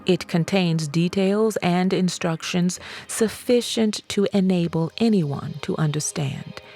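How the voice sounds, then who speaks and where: A woman reading an audiobook as a dramatic storyteller, deliberate and expressive in her scripted narration. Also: fairly low pitch, faint music behind.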